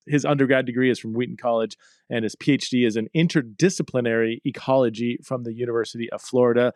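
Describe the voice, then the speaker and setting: A man's voice: medium pitch, no echo, studio quality. Chatty upbeat man hosting a podcast. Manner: upbeat, chatty, laughs often. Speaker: podcast host. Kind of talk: casual interview chat.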